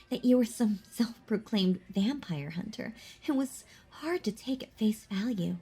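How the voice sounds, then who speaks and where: A woman performs a predatory female vampire in an intimate roleplay drama. Her voice is fairly high and breathy, and she draws her words out very slowly. She is mocking and possessive, talking down to her prey with teasing confidence. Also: mellow, exaggerated voice. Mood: coy, probing. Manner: mocking, condescending, slow and deliberate.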